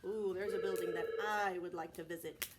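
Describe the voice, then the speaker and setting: A woman preschool teacher reading aloud to young children in a deliberate, child-directed way, often asking prompting questions. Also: on the low side, fairly even intonation, heavy background noise. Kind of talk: read-aloud and sing-song circle time for young children.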